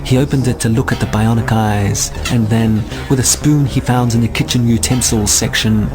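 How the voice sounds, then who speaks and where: A man narrating a scripted comic science fiction radio drama in a measured, dry, formal manner. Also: mid-range voice, fairly even intonation, over music.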